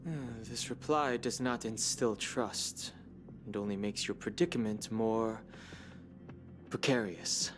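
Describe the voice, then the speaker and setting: An actor playing a reserved young guardian in a video game, asking short, measured questions. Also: male voice, lowish voice. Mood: guarded. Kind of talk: video game cutscene acting. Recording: game audio with background music.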